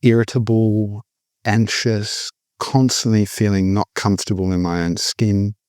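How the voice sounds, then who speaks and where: A man's voice: lowish voice, flat delivery, measured pace, a little mellow, clear, resonant tone, no room sound, clean studio sound. A middle-aged man, candidly telling his personal recovery story in a reflective way. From born in the Philippines, raised abroad. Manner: reflective, candid, with frequent restarts. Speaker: guest sharing recovery story.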